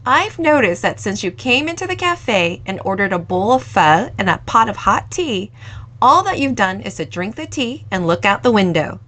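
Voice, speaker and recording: A woman reading the part of a sweet, curious young cafe owner who teases gently and eagerly. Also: mid-range voice, sing-song, moderate pace. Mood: playful. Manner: warm, teasing, eager.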